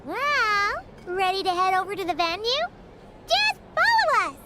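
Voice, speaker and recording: A woman voicing a tiny, cheerful, childlike fairy companion in a video game, squeaky, excitable and cheeky. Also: measured pace, slight background noise, full character voice. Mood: excited. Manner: excitable, refers to self by name. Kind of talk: video game character acting. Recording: loud game music behind.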